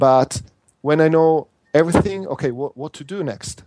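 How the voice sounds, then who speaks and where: A man's voice: on the high side, darkish tone, no echo. A man with an Italian accent, a native Italian speaker, talking earnestly as an interview guest, often restarting and repeating words. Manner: earnest, halting, with frequent restarts and repetitions. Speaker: interview guest, workshop instructor.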